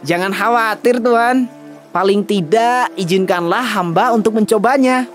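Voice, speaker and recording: A man's voice: sits high, a little slow, faint music behind. A man playing a humble, brave young wanderer in a children's folktale drama, speaking politely and earnestly. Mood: respectful.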